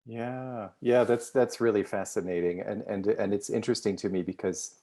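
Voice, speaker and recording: A man hosting a podcast, speaking in a measured way with frequent restarts. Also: lowish voice, fairly even intonation, mellow. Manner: measured, many restarts and hedges. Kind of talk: conversational podcast interview.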